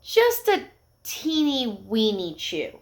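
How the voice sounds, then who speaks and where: An eager little storybook puppy, voiced by a woman reading aloud. He sounds earnest and childlike, with very polite manners and a voice that often lifts high when he calls out. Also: huge pitch swings, takes it slowly, full character voice. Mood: earnest, hopeful.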